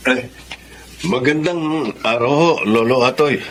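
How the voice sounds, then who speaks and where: Man acting a polite father, medium pitch. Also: a little slow, very noisy, natural voice. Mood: polite, warm. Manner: polite, respectful. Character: polite, respectful young father of a little girl. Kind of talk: Tagalog radio drama acting.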